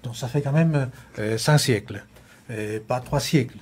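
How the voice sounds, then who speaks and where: A man's voice: medium pitch, measured pace, a little mellow, husky. A man speaking as a guest on a studio panel, hesitant, with many restarts.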